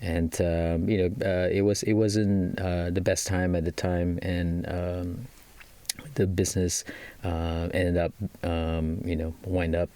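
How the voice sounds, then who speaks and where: Low-voiced Malaysian man, halting. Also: level tone, dark tone, smooth, dry recording. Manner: halting, many fillers and restarts. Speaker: guest, business executive. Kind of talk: casual interview talk.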